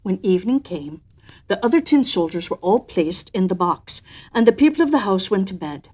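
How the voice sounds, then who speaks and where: A woman storyteller with a mid-pitched voice narrates a children's tale. Her delivery is theatrical and expressive, swelling for emphasis and dropping softer at the ends of phrases. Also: normal speed. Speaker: storyteller and host. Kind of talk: expressive storybook narration with an in-character personal anecdote.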